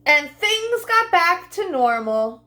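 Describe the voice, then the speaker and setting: Female children's storytime host: high, animated read-aloud delivery, speaking straight to little ones. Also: slow pace. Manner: expressive, addressing children directly.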